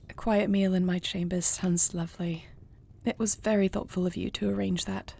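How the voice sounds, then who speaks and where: A woman playing an affectionate, dutiful queen in an intimate fantasy audio roleplay, speaking softly and formally with measured, murmuring diction. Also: fairly low pitch, level tone, gravelly. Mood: weary, composed. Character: affectionate, dutiful dragon queen, newly wed to a princess.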